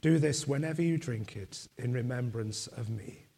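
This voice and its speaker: A man leading communion in a church, reciting liturgical prayers in a slow, solemn, measured way, his voice going. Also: mid-range voice, vocal fry, dark tone. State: losing his voice.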